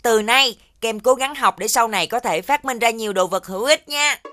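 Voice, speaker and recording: A woman's voice: a little high, moderate pace, a bit bright, lightly acted. A woman playing a cheerful, kindly little magical creature in a children's cartoon, with a childlike, encouraging delivery. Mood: reassuring. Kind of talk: animated children's cartoon dubbing.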